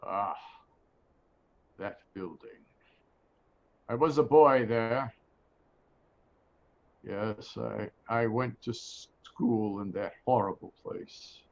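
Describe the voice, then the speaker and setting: An actor playing a grumpy, frightened old miser in a radio drama, stammering and exclaiming. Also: male voice, lowish voice, very slow, gravelly, pauses often, heavily acted. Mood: wistful. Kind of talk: theatrical radio drama acting. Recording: dull lo-fi sound with noise.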